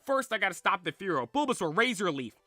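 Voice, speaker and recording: A man's voice: sits high, sing-song, quick pace, sharp tone, dry recording, soft background music, voice pushed up, full character voice. A man voicing a brash, impatient young boy trainer who exclaims his lines in a story retelling. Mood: urgent.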